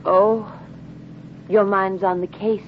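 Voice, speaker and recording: Slow-talking femme fatale, old radio. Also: female voice, mid-range voice, flat delivery. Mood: coy, amused. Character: sultry female murder suspect in detective drama. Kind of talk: 1950s radio drama acting. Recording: muffled, faintly noisy vintage radio recording.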